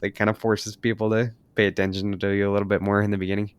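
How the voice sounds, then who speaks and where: Smooth, dry-voiced podcast host man. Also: on the low side, flat delivery, racing, no room sound. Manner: rambling, filler-heavy questions. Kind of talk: casual podcast interview.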